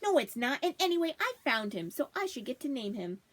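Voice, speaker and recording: A woman voicing an excitable young boy with a whiny, pleading, emphatic manner in a storybook reading. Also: sits high, fairly quick.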